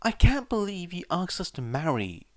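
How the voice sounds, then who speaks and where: A brash teenage boy in a story reading, acted out by a man. The voice jumps well above a grown man's register and comes out loud and indignant. He blurts and stammers, clueless and easily outraged. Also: sits high, sing-song, normal speed, no room sound, voice pushed up. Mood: earnest.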